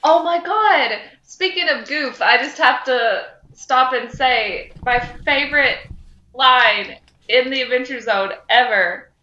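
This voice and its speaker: A woman co-hosting a podcast, moving between casual slangy banter and expressive reading of fan fiction. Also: sits high, slow pace, highs cut off. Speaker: podcast co-host.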